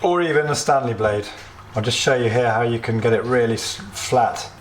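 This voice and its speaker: Slightly hoarse British man, echoey room. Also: mid-range voice, moderate pace, a little hiss or hum. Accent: British English. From United Kingdom. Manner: chatty, explains steps plainly with small asides. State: losing his voice, a bit hoarse. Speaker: DIY video host. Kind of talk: relaxed DIY tutorial. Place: home workshop or kitchen.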